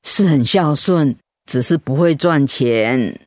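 A woman's voice: sits low, takes it slowly, darkish tone, dry recording, clean studio sound. An actress playing an elderly, strong-willed mother who frets over her children in a radio drama. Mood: resigned.

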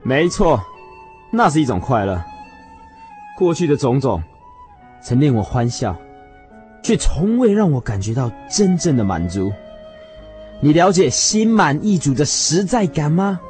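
A man playing an earnest, obsessed husband in a radio drama, moving theatrically between hushed reflection and fervent declaration. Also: on the high side, sing-song, unhurried, pauses often, soft background music. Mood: earnest, reflective. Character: earnest, obsessed husband yearning for a precious pearl.

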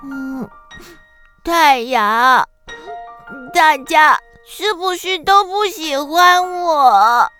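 A woman's voice: sits high, animated, extremely slow, bright, forceful tone, faint music behind, exaggerated voice. A woman voicing a lonely, timid, childlike spirit of darkness in a children's radio drama, plaintive and hesitant. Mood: sad.

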